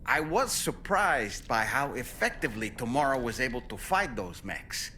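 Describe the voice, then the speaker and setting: A man playing an earnest, caring crew ally who speaks with expressive admiration in a video game cutscene. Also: mid-range voice, moderate pace, slight background noise. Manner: warmly praising, expressive.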